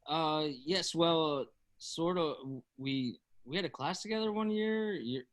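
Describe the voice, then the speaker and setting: A man acts a shy, awkward teenage boy in a film drama table read. The voice is a little high and quiet, stumbling through halting phrases. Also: lightly acted. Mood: flustered, shy.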